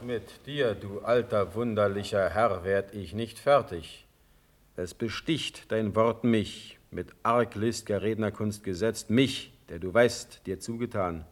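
A man's voice: fairly low pitch, unhurried, close to an everyday voice. An actor playing a stern, proud male sovereign in a classical radio drama, with a commanding, formal declamation. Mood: exasperated, commanding.

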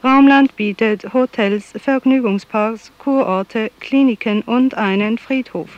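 An actress playing a recorded tourist guide, narrating facts in a measured way in a radio drama. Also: female voice, a little high, dull audio. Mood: informative, composed. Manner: measured, factual delivery. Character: informative female travel guide on a recorded tourist tape.

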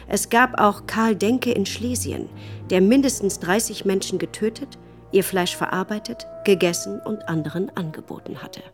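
Actress as a sober widow, medium-pitched, over music. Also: female voice, moderate pace, natural voice. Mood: grave, reflective. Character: sober widow recounting exile and survival. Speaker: narrator in a radio play. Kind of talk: scripted first-person radio drama narration.

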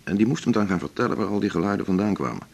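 An actor playing a self-assured, opinionated male doctor with a husky voice and a wry, pontificating manner in a naturalistic radio drama. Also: lowish voice, animated, racing, darkish tone, full character voice. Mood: anecdotal, knowing.

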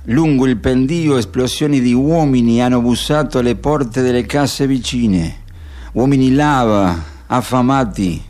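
A man playing a solemn radio reporter, delivering scripted poetic narration gravely and deliberately. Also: mid-range voice, darkish tone, natural voice. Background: faint music.

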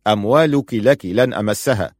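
A man's voice: fairly low pitch, normal speed, a little mellow, no echo, studio quality, natural voice. A man playing a stern, proud and suspicious husband in audiobook dialogue, firm, curt and challenging. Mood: decisive.

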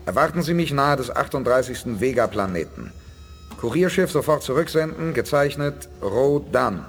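A male actor as a wry, self-assured space commander in a 1980s radio play. He speaks in a medium, highly animated voice, crisp and commanding, with music underneath. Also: normal speed, natural voice. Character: confident, amused space commander, calm authority. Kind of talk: 1980s German radio drama acting.

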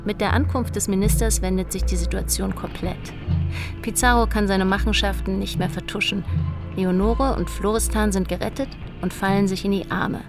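Creaky woman narrating over clear music. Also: mid-range voice, steady tone, normal speed. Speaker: reader of an opera synopsis. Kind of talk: scripted dramatic storytelling narration. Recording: loud music under the voice.

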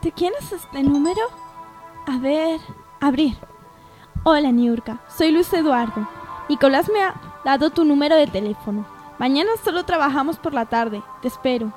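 A woman's voice: high voice, moderate pace, darkish tone, soft background music, lightly acted, low-quality recording. A woman playing a sweet, hesitant young working daughter in a radio soap opera. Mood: cheerful.

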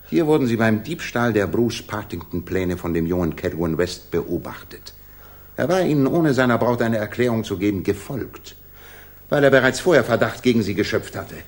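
Casting: male actor as incisive gentleman sleuth, low voice, sweeping intonation, ironic and theatrical, vintage radio play. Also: close to an everyday voice. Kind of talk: 1960s German radio crime drama acting.

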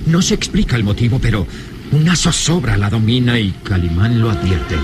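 A man's voice: medium pitch, expressive, normal speed, background noise. A man narrating a radio serial in a dramatic, solemn, theatrical scripted style.

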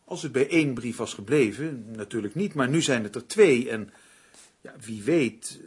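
An actor playing an uneasy, earnest crime novelist in a radio drama, given to defensiveness. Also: male voice, medium pitch, animated, moderate pace, breathy edge. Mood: worried.

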